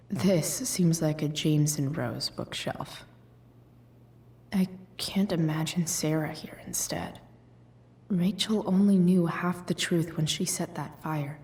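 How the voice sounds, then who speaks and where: A woman voicing a troubled, loyal teenage girl in a video game, musing to herself in a hushed, casual way. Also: low-pitched, recorded from a distance. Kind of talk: introspective game monologue.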